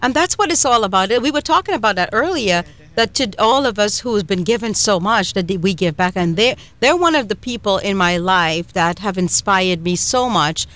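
A woman's voice: mid-range voice, animated, moderate pace, hardly any pauses, a bit bright. Chatty Trinidadian woman hosting radio. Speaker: radio show host.

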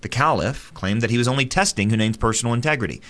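A man delivering scripted radio commentary in an earnest, steady narrating voice. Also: mid-range voice, fast talker.

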